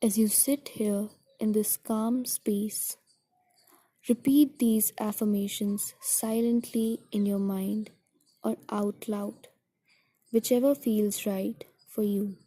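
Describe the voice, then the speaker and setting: A woman guiding a meditation in a soothing, gentle, deliberate way, with a soft, breathy voice that trails toward a whisper. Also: mid-range voice, steady tone. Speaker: meditation guide. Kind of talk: guided meditation narration.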